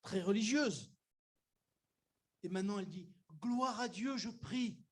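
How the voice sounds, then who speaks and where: Older male pastor, high voice, conversational sermon delivery, bursts of emphasis. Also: a little slow. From Algeria. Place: church.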